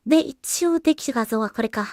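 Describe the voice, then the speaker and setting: A woman giving casual, musing commentary as she edits, half talking to herself in unhurried asides. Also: on the high side, expressive, darkish tone. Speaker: solo creator and voice actor.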